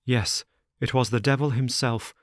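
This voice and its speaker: A man voicing an anxious, careworn young gentleman who speaks in an urgent, distressed way. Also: medium pitch, fairly even intonation, normal speed, no room sound, studio quality, voice pushed up, natural voice.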